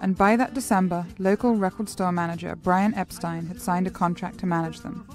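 A woman's voice: on the low side, faint music behind. Woman narrating a documentary. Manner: measured, even storytelling.

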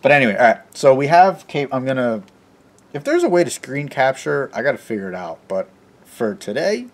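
A man in his thirties with a hoarse, sniffly voice, chatting casually to a handheld camera in his home garage and car, rambling and self-deprecating. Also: mid-range voice, big pitch swings, fairly quick. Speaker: car vlogger. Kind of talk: casual how-to vlog talk to camera. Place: home garage, then inside a parked car. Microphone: handheld camera at arm's length.

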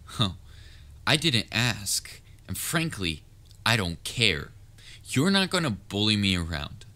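A man's voice: lowish voice, big pitch swings, slow pace, bright, forceful tone, full character voice. A man playing a patient, sometimes exasperated but caring young babysitter in a roleplay audio drama, talking in a casual, coaxing way. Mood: firm. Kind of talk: roleplay audio drama, speaking directly to listener.

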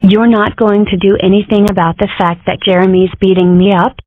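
Woman as stilted, wry comic-strip fox, medium pitch, narrow phone-like recording. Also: fairly even intonation, brisk, no room sound, close to an everyday voice. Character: wry young fox girl and her fox mother. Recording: muffled, narrow phone-like sound, close and dry.

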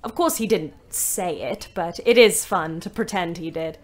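A woman's voice: on the low side, normal speed, natural voice. An actress playing a wry, resentful young British woman ambulance driver, narrating her diary in an emphatic way. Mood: cheerful. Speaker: actor narrating an audio play.

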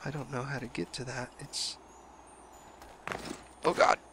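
A young man commenting casually on a video game he is playing, his voice a little hoarse from a cold, rambling with self-deprecating asides. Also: mid-range voice, fairly even intonation, measured pace, sharp tone, a little hiss or hum. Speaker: let's play gamer.

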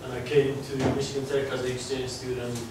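A man's voice: medium pitch, monotone, a little fast. Finnish-accented man lecturing in an echoey hall. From Finland. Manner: chatty, conversational, restarts phrases. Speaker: guest lecturer. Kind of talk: informal lecture to students. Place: large lecture hall, indoors. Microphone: far camera, no mic seen. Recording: echoey hall, distant mic, background noise.

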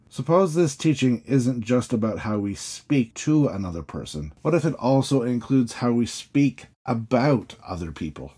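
A man, a priest, giving a measured sermon full of questions, each sentence opening higher and settling low. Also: a little mellow, breathy edge. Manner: measured, questioning preaching with rising openings and falling endings.

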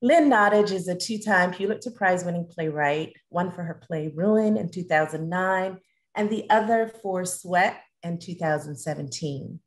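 A woman's voice: on the low side, normal speed. A middle-aged woman hosting a talkback interview on a video call from a room at home. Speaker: host, theatre artistic director.

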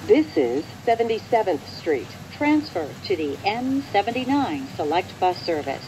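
An American woman reading scripted transit announcements in a measured, formal manner. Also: medium pitch, very animated, background noise.

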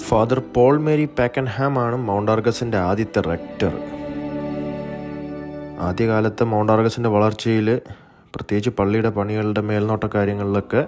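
A man narrates a documentary in a fairly low voice. His delivery is measured and explanatory. Music plays clearly behind him throughout. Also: steady tone, darkish tone. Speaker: video narrator. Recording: music bed, some dull echoey passages.

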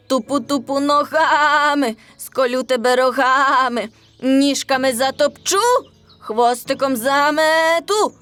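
A woman voicing a fierce, boastful nanny goat who chants menacing, drawn-out rhymes in a children's folk tale. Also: high voice, fairly bright tone, voice pushed up.

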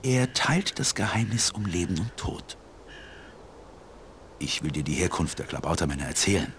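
An older man voicing a kindly elderly village priest in a cartoon game, telling tales in a measured way. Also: low-pitched, sing-song, fairly bright tone, slight background noise, full character voice. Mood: storytelling. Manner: measured, storytelling. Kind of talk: scripted cartoon game dialogue.

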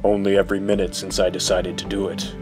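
An actor playing a grim, commanding masked vigilante in a superhero audio drama, terse and deadpan. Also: male voice, low voice, quick pace, soft background music, close to an everyday voice. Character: grim, commanding masked male vigilante hero, middle-aged.

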